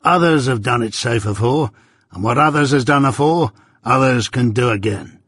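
A man reading an audiobook voices a rough old male ex-convict who dotes and blusters in a broad rustic dialect. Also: mid-range voice, normal speed, fairly bright tone, dry recording, clean studio sound. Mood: confiding. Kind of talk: audiobook character voice.

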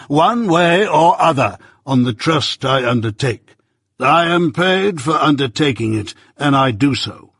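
A man voicing a stern, commanding older lawyer who speaks in clipped, emphatic warnings, with a husky voice in a dry recording. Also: medium pitch, a bit bright, dry recording, exaggerated voice.

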